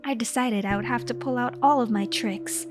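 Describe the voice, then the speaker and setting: A woman's voice: on the high side, moderate pace. A woman narrating a scripted comic diary in a theatrical, mock-serious and earnest way. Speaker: storyteller, content creator. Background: music.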